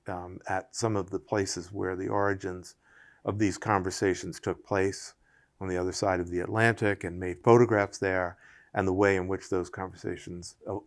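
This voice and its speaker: A man speaking in a public conversation at a museum, thinking aloud in long winding sentences with many fillers and restarts. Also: sits low.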